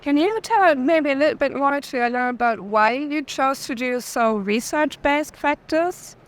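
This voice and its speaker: A woman's voice: sits high, lively intonation, a little slow. A woman interviewing an artist, giving earnest, articulate commentary in a conversational way. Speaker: interviewer, art director. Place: busy art fair.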